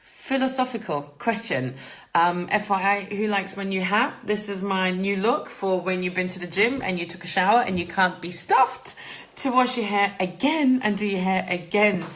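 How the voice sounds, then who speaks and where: A woman talks to her livestream viewers in an informal, chatty way. Her first language is German, yet her English carries a mix of English and Australian accents. She speaks at a medium pitch, swears freely and breaks off to say hello to people. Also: normal speed, fairly bright tone. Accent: mixed English and Australian. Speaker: host, mindset coach.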